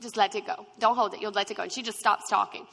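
A woman's voice: mid-range voice, fast talker, vocal fry, big-room sound. A woman in her twenties telling her story with laughter, acting out the people she quotes. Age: 20s. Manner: expressive, acts out quotes, laughs often. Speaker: guest sharing her testimony. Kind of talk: animated storytelling.

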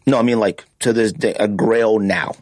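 A man's voice: fairly low pitch, moderate pace, darkish tone, no echo, clean studio sound. A man hosting a podcast in casual debate, talking emphatically and repeating phrases to drive his point home. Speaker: podcast host.

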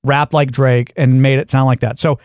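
A man's voice: mid-range voice, level tone, moderate pace, darkish tone, dry recording, clean studio sound. A man co-hosting a talk show, giving emphatic, opinionated takes in casual banter.

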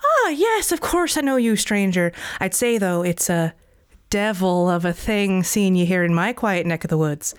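A smug, fast-talking trickster man, put on by a woman storyteller, coaxing and goading with a slippery, self-pleased charm. His voice sits higher than her own, sometimes dropping to a sly whisper. It is a close, dry storybook reading. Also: very animated, smooth, no echo, close to an everyday voice. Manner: wheedling, goading. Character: sly silver-tongued male trickster, smug.

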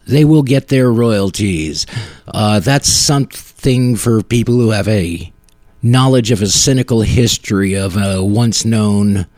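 A man's voice: medium pitch, a little mellow, no echo, clean studio sound. A man hosting a podcast in casual banter, wry, tongue-in-cheek and self-deprecating. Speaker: podcast host. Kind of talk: casual podcast banter, partly scripted announcements.

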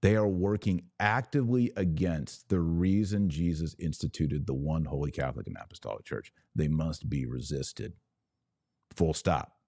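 A man with a low, mellow voice, recorded close and dry. He speaks as a religious commentator hosting his own show. His delivery is emphatic and instructive, laying out points for listeners like a teacher. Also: normal speed, no room sound. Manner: emphatic, didactic, explaining by analogy.

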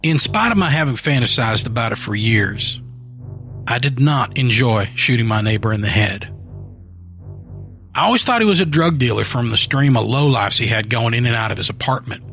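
A man narrates a grim first-person tale in a steady, matter-of-fact voice at a middle pitch. Soft music plays faintly underneath. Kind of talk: first-person horror story reading.

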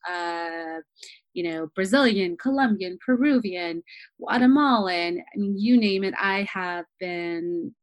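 Woman, casual podcast guest, animated, halting restarts, dry sound. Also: fairly low pitch, dry recording. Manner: animated, restarts sentences, many fillers. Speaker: travel journalist guest.

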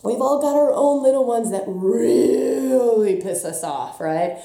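A woman's voice: on the high side, expressive, takes it slowly, roomy sound. A woman giving an impassioned spiritual talk, emphatic and intense by turns, with a slightly stuffy voice. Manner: passionate, emphatic, swings between soft and intense. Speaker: spiritual teacher.

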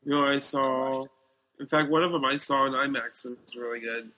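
A man co-hosting a podcast, chatting informally and with firm opinions about films and TV in a gravelly, husky voice. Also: medium pitch, steady tone, normal speed, pushed and bright, low-quality recording. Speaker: podcast co-host. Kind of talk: casual chat about films and TV.

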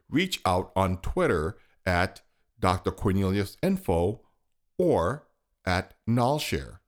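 A man reading a scripted podcast outro, briskly running through sponsor plugs and web addresses. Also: low-pitched. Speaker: podcast host.

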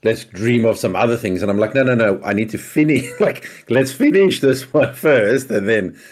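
A man co-hosting a podcast in casual chat, with a deep, booming voice and an easygoing manner. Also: lively intonation, moderate pace. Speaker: podcast co-host.

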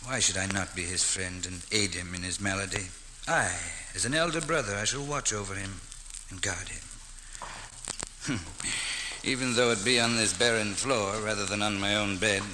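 A man's voice: on the low side, normal speed, lightly acted. An actor playing a gallant, kindly nobleman swordsman, speaking theatrical archaic English in an old radio drama. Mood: gentle, courteous. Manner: theatrical, archaic courtly speech. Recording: noisy vintage radio recording.

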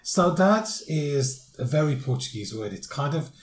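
A man's voice: on the high side, lively intonation, unhurried, reverberant, soft background music. A man hosting a podcast, describing things in a casual, descriptive way with lots of fillers. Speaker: podcast host. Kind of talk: casual chat.

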